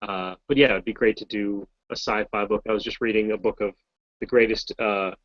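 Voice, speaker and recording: A voice actor from Massachusetts answering fan questions in a casual, rambling, self-deprecating way over a video call. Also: male voice, on the low side, steady tone, a little fast, no room sound, highs cut off. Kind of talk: casual Q&A chat.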